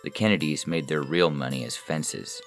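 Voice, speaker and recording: Male story narrator, extremely deep pitch, scripted literary reading, clear musical backing. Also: normal speed, soft background music.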